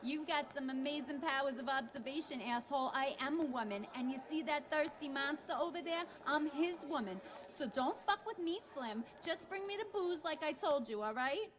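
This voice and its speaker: A woman's voice: high-pitched, moderate pace, hardly any pauses, crowd noise, natural voice. A woman playing a brash, foul-mouthed, bossy broad with slangy tough talk in an old-time radio comedy style. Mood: brash, mocking. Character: brash, foul-mouthed woman sweetly bossing her monstrous lover. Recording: noisy recording.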